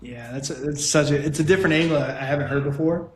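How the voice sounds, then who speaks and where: A man hosting an interview, casual and enthusiastic, often chiming in with agreement. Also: on the high side. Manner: enthusiastic, many quick agreements. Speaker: podcast host. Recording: roomy, faint background noise.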